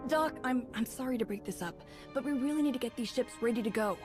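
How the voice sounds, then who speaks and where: A woman playing a practical, gently teasing young Jedi in a video game cutscene. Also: fairly high pitch, fast talker, faint music behind, natural voice. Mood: worried.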